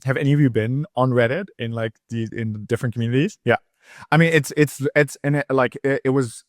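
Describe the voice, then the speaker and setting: A man's voice: mid-range voice, dry recording, clean studio sound. A man in his thirties from Switzerland, a podcast guest telling his story in a rambling way, full of fillers and restarts. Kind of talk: casual interview chat.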